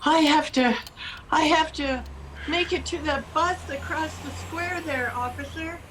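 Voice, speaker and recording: Radio play role: woman as polite, nervous lad. High voice, measured pace, noisy recording. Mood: anxious. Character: anxious, polite young boy running an errand. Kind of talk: theatrical radio play acting.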